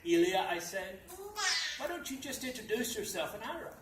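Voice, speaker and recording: Man, medium-pitched voice, theatrical live story reading, emphatic delivery, distant echoey recording, noticeable noise. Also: big pitch swings. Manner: theatrical, deliberate emphasis with sudden swells. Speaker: reader narrating a comic short story. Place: library function room at a live reading. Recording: echoey room, distant mic, noisy.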